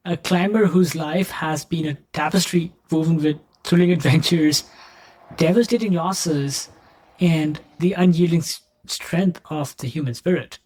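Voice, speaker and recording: A man's voice: high-pitched, a little mellow, rough recording. A man hosting a podcast, reading an earnest scripted introduction and then asking his guest questions in an encouraging way. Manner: earnest, encouraging, addresses listeners as friends. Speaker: podcast host.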